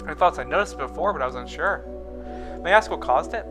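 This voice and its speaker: A man's voice: fairly high pitch, animated, fast, close to an everyday voice. Man voicing a fussy, polite furry alien scientist. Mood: curious. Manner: polite, careful, flustered. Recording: music playing behind the voice.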